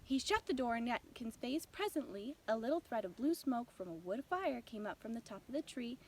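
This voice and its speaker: Woman narrator for children's bedtime tales: high pitch, lively swoops, some background noise. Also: normal speed. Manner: expressive storytelling. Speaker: storybook narrator. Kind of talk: children's bedtime story reading.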